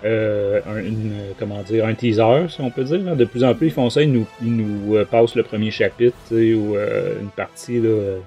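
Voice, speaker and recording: A man's voice: on the low side, steady tone, moderate pace, hardly any pauses, dark tone, muffled recording, soft background music. A man with a Québécois French accent hosting a podcast, giving a casual book review in a rambling, colloquial, hesitant way.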